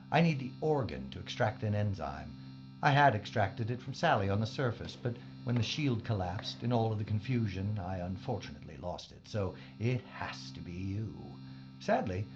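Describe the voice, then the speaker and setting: A mad scientist villain from a sci-fi audio drama , acted by a man, with a swooping, animated delivery. He is pompous and coldly polite, full of mock regret. The sound is dull and lo-fi, with music clearly behind him. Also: on the low side.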